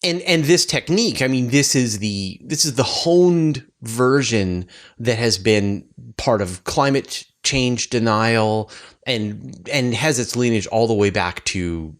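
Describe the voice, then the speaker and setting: A man's voice: medium pitch, takes it slowly. A man hosting a casual interview, talking conversationally and repeating words and phrases for emphasis. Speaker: interviewer, host and science communicator.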